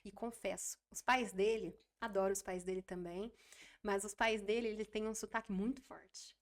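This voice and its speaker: A woman in her twenties, a podcast guest chatting casually and telling stories with lively repeats. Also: mid-range voice. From Brazil. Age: 20s. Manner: chatty, animated storytelling with quick repeats. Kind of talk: casual interview chat.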